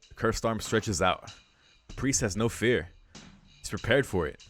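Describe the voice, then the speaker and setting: A man reading a visual novel's story aloud for a let's play, breaking into casual, excitable reactions with some swearing. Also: on the low side, measured pace. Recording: music behind the voice.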